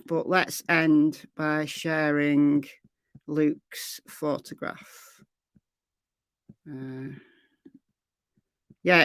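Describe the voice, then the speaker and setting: A middle-aged woman hosting a true crime livestream, chatting casually with viewers in a rambling way, her voice slightly hoarse. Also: low voice, fairly bright tone, dry recording. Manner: rambling, chatty, trails off into fillers.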